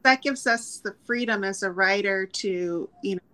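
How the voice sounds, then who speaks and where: A middle-aged woman asks an author questions over a video call from her home. Her voice is bright and forward, and she talks in halting phrases full of fillers. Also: medium pitch. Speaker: book club host. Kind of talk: interview questions. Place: home room.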